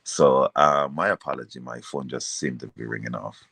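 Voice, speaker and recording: A man's voice: a bass voice, normal speed, gravelly, bright, forceful tone, a little hiss or hum. A man talking casually and conversationally in an interview, as a reggae singer telling his story. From Caribbean, outside Jamaica. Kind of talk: casual interview, recounting a career.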